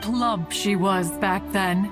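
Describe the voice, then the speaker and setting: A woman's voice: mid-range voice, slow pace, lightly acted. A woman playing a lofty, fondly talkative ancient immortal in a video game, with archaic, formal phrasing. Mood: fond, chatty. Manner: archaic formal speech, stately, pompous. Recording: game audio with music behind.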